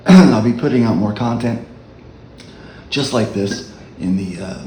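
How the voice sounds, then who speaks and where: A man with a low voice giving a relaxed, halting dulcimer lesson as a worship leader. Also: echoey room, slight background noise. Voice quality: low speaking voice. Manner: unhurried, halting explanation. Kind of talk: casual music tutorial.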